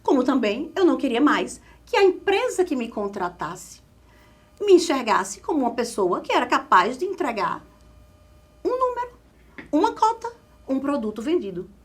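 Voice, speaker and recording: A woman with a drawling Pernambuco accent tells her own story as an interview guest. Her voice sits fairly high and swings widely in pitch as she leans on repeated phrases for emphasis. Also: big pitch swings, normal speed, breathy edge. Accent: Pernambuco Brazilian Portuguese. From Caruaru, Pernambuco, Brazil. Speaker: guest, communication specialist.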